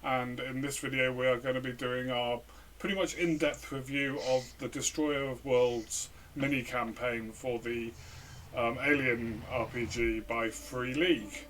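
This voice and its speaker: A man's voice: mid-range voice, steady tone, unhurried, fairly bright tone, slight background noise. Man talking from far off the mic. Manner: hesitant, lots of fillers and restarts. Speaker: podcast co-host, player. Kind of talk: casual review chat.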